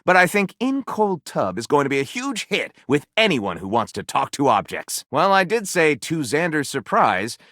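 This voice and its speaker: A man voicing an excitable, pompous author of trashy novels in a video game, with a theatrical, sardonic manner. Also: a little high, normal speed, hardly any pauses, no echo, studio quality. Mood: dryly sardonic. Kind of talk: video game character voice acting.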